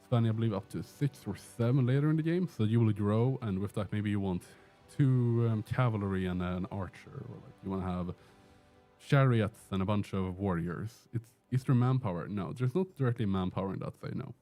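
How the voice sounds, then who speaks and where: A man's voice: fairly low pitch, brisk, dark tone, soft background music. A man with a Swedish accent, chatting and joking through a live gameplay stream while answering questions from chat. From Sweden. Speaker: game streamer. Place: indoor room with a door.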